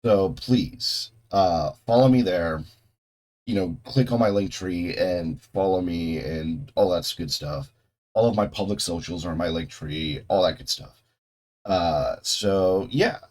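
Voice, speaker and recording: A young man hosting a reaction video, talking casually with a voice still hoarse from a cold, rambling, swearing and restarting his sentences. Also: low voice, unhurried, a little mellow. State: hoarse, getting over a cold. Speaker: host of a video reaction channel. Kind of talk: casual off-the-cuff chat.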